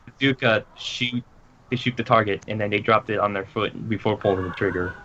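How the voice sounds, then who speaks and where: A man's voice: lowish voice, fairly even intonation, a little fast, fairly bright tone. A man chatting as a podcast guest, making dry offhand remarks. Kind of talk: casual chat. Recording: dull lo-fi audio with faint background hiss.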